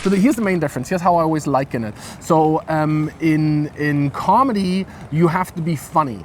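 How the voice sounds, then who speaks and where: A man's voice: on the high side, fairly quick. A man from East Berlin, chatting casually and jokingly as a comedian being interviewed. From East Berlin, Germany. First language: German. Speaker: stand-up comedian, guest. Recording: clear outdoor city traffic noise.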